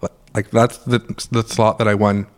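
A young man co-hosting a casual podcast, talking with a raspy just-woke-up voice and joking and laughing as he tells stories. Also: on the low side, level tone, normal speed. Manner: joking, laughs often, rambling storyteller. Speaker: podcast co-host. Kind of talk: casual chat.

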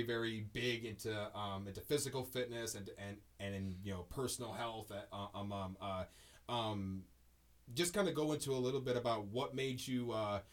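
A male podcast host with a mid-range voice, talking loosely and emphatically. He wanders through his stories, piles up ums and uhs, and restarts his sentences before he pushes on. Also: mid-range voice. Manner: rambling, heavy on fillers and restarts, emphatic storytelling. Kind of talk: casual podcast chat.

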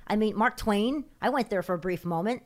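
A woman's voice: mid-range voice, fairly quick, darkish tone. A middle-aged woman from the south side of Chicago, a guest chatting casually and laughing easily. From south side of Chicago, USA. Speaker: podcast guest. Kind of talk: casual conversation.

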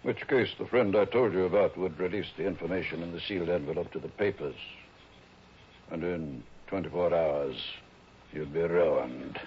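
An actor playing a smug, sly blackmailer in a mannered, mock-polite style of 1960s radio drama. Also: male voice, sits low, normal speed, lightly acted. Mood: smug, menacing. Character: smug, sly male blackmailer, sneering then pleading. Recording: muffled, hissy vintage radio recording.

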